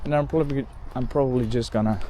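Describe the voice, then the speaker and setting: A man's voice: medium pitch, fairly even intonation, fast talker, dark tone, slight background noise. Rambling Dutch man talking while walking outdoors. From Netherlands. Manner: rambling, self-correcting, lots of "like" and "um". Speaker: bird photographer vlogger. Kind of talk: casual rambling walk-and-talk. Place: outdoors in open countryside, walking. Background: faint outdoor ambience with passing cars.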